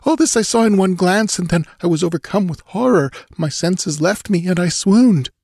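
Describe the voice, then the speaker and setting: A frightened young nobleman, acted out by a male reader, speaks in a raised, strained pitch with hushed urgency. His words are formal and full of horror, as in a fantasy audiobook, and the sound is close and dry. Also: moderate pace, husky, no echo. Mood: horrified, hushed. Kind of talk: dramatized audiobook fantasy adventure.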